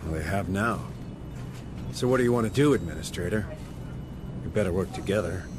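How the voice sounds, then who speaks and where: Man playing a low-voiced, blunt marine sergeant. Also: brisk, music in the background, natural voice. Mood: matter-of-fact. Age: middle-aged. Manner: blunt, sardonic, colloquial. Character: gruff, stubborn marine sergeant, a troubled middle-aged father. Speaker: video game actor. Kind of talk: cinematic game dialogue.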